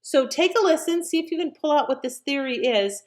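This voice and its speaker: A woman's voice: sits high, lively intonation, a little fast. A woman casually introducing an old radio drama as a host, talking informally to listeners.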